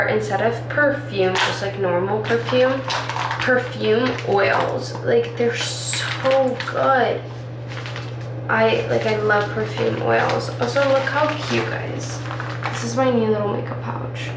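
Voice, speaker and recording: Young woman, age 20, casual vlog chatter, rambling with lots of "like", distant mic, background hiss. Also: medium pitch. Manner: rambling, filler-heavy with lots of "like". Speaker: architecture student vlogger. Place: indoors, doing makeup in a room. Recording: echoey room, distant mic, background noise.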